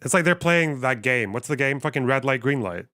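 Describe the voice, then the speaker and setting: Clear-voiced man rambling through a livestream. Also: mid-range voice, animated, fairly quick, sharp tone. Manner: thinks aloud, rambling and wry. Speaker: livestream host. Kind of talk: casual livestream commentary, reading chat.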